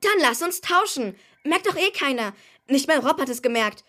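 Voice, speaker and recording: A woman's voice: high-pitched, animated, moderate pace, a bit bright. A woman playing a modest, practical young boy in a children's radio play. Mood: modest, persuasive.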